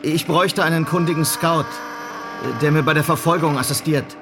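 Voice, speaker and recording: An actor playing a polite, somewhat unsure police sergeant in a German radio western, speaking formally. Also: male voice, fairly high pitch, steady tone, normal speed, over music, natural voice. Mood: polite, uncertain. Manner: formal, polite, slightly hesitant. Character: polite, unsure male city police sergeant seeking a scout.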